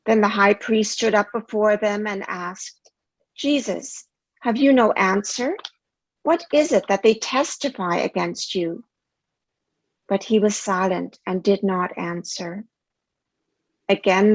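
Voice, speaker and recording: Woman, mid-pitched voice, scripted scripture reading, church lay reader, dry recording. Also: no room sound, poor audio.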